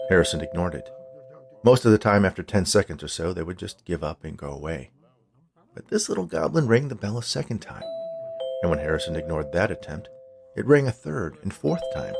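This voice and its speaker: A man's voice: sits low, vocal fry, a little mellow, a little hiss or hum. A man reading a comic Halloween story aloud as a scripted narrator.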